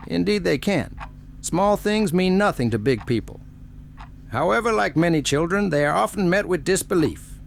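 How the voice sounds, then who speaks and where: A man voicing a plump old gnome elder with a creaky voice and quaint, deliberate, formal phrasing, in a fantasy audio story. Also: high-pitched, lively intonation, a little hiss or hum. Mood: gracious, earnest.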